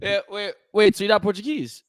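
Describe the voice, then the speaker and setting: A man's voice: sits high, a little fast. A man co-hosting a casual chat, bantering with teasing, incredulous questions. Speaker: podcast co-host.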